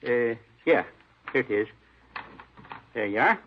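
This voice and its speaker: Folksy, simple janitor on noisy old radio. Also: male voice, medium pitch, animated, takes it slowly, lo-fi sound. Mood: eager, defensive. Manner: folksy, ungrammatical, rambling, repetitive. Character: simple-minded, eager, talkative male store janitor. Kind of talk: old-time radio drama acting. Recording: noisy, band-limited old radio recording.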